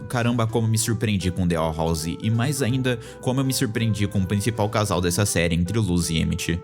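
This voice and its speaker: A man with a Brazilian accent narrating a casual opinion video essay as a channel host. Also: lowish voice. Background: background music.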